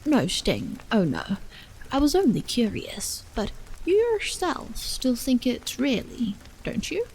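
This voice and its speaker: Woman doing a earnest troubled guy in a storybook, soft n solemn, high-ish, rises and falls a lot, rain sounds. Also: slow, slight background noise, exaggerated voice, poor audio. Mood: apologetic, curious. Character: troubled, earnest, introspective man searching for meaning. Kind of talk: audiobook character dialogue. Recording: steady rain sound over the voice.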